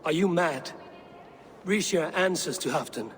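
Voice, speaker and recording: An actor playing a blunt, honest Viking raider hero who talks in a firm, plain way. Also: male voice, on the high side, unhurried, a little hiss or hum, close to an everyday voice.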